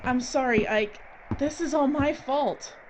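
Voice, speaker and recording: An actress playing a determined, remorseful filmmaker in danger in an audio drama. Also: female voice, high-pitched, measured pace. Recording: dull audio, faint background noise.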